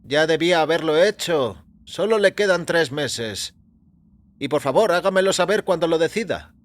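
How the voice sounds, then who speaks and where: A talkative, genial doctor, acted by a man in an audio story. The voice rides high and animated, breezy and matter-of-fact, with music underneath. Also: moderate pace, natural voice. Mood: brisk, genial. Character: chatty, jovial doctor, curious and matter-of-fact. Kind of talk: audio fiction character acting. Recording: music behind the voice.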